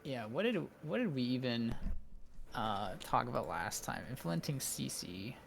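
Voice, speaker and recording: Halting man on a noisy call. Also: medium pitch, very animated, gravelly. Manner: hesitant, halting, thinking aloud. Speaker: student in coaching session. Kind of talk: casual chat. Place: remote online voice call.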